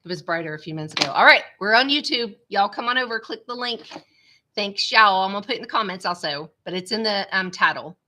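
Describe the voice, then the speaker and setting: A woman's voice: mid-range voice, animated, quick pace, bright, forceful tone. A woman with a stuffy, congested nose, chatting casually to viewers on a live stream from her computer at home. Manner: chatty, rambling, says "y'all" often. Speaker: vlogger hosting a live stream. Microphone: small webcam.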